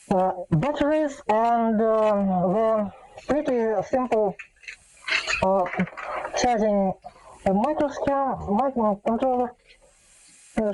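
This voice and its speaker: Female presenter, fairly high but soft-edged voice, halting and informal, as in an off-the-cuff tech demo. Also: low-quality recording. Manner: hesitant, halting delivery with frequent long filler pauses. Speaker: host demonstrating an electronic gadget. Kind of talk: casual unscripted tech demonstration.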